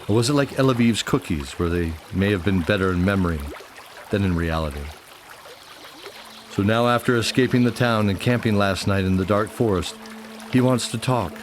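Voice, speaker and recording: A man's voice: fairly low pitch, moderate pace, slight background noise. A man narrating a scripted fantasy audio drama.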